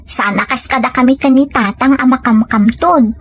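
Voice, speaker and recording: A woman's voice: high-pitched, moderate pace, slightly rough. A woman with a Filipino accent playing a dutiful, grateful young student and daughter in an audio drama, polite and modest. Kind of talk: audio comedy-drama acting.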